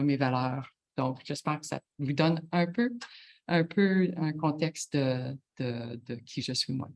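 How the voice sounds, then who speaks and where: A middle-aged woman with a Franco-Ontarian French accent, answering interview questions haltingly, often stopping to search for words. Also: deep voice, a little mellow. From Ontario, Canada. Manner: halting, many fillers and restarts, searching for words. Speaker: guest, chief executive.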